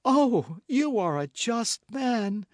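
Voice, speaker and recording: A man performs a scheming noblewoman who feigns pious meekness, pleading through sobs. The voice rides high and swoops widely, with a close, dry sound. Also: expressive, unhurried, no echo. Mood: tearful pleading. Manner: pleading, sobbing, breathless. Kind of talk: audiobook character voice.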